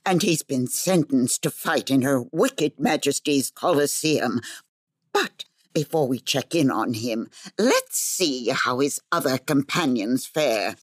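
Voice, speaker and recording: A woman's voice: very deep voice, expressive, slow, no echo, full character voice. A woman playing a chatty, theatrical fantasy storyteller who is easily sidetracked, in a comedic audio drama. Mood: dramatic narrating. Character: chatty, scatterbrained female fantasy storyteller and academy alumna.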